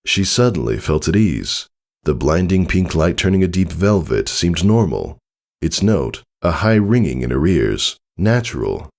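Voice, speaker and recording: A man reads fiction aloud as a dramatic narrator. His voice is extremely deep and gravelly, with lively melodic swings and a measured, expressive delivery. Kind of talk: dramatic scripted story narration.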